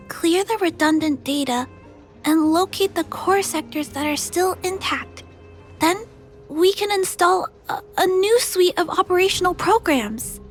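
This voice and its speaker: Tiny high-pitched little girl, sweet polite earnest, game character, faint music under it. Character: gentle, earnest, determined young girl. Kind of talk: scripted video game voice acting.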